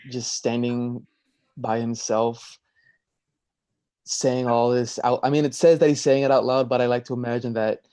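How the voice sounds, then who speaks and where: A man chats in a casual, slow-paced way as an actor in a Q&A. His pitch is average and the recording is dry and close. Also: dark tone, dry recording. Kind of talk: casual chat.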